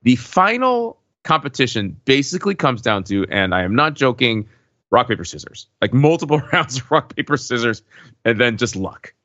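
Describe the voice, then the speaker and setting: A man in a casual podcast discussion, thinking aloud in a halting way as a panelist. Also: medium pitch, big pitch swings, a bit bright, no room sound, dull audio.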